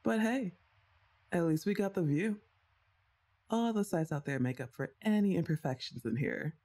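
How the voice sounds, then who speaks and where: A woman's voice: fairly low pitch, moderate pace, mellow, natural voice. A young woman voicing her best friend's older sister in an ASMR roleplay, chatting and teasing the listener and laughing often. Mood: playful. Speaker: voice actress.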